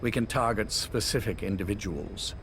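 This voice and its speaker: An ageing from military intelligence, with a low voice and formal, measured diction. He is cool and calculating, in a video game tape drama. There is faint noise on the cassette recording. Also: a bit bright. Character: ageing British intelligence officer, cool calculating Major, wistful veteran.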